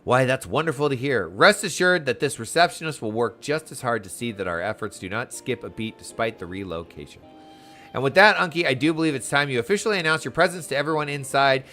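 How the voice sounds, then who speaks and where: A man plays an eager young female receptionist in a fantasy video game. She is courteous and a little anxious, speaking in formal, effusive phrases at an average pitch over faint music. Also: expressive, fast talker, pushed and bright. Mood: delighted, resolute.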